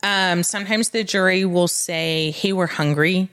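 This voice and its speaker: A woman's voice: lowish voice, measured pace, fairly bright tone, clear, resonant tone. A woman co-hosting a podcast as the legal expert, explaining things in casual chat and acting out courtroom dialogue as she goes.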